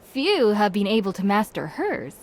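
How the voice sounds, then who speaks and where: A woman's voice: mid-range voice, big pitch swings, moderate pace, slight background noise, close to an everyday voice. An actress playing a cheerful, polite young woman serving tavern customers in an anime game, speaking playfully. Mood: explaining.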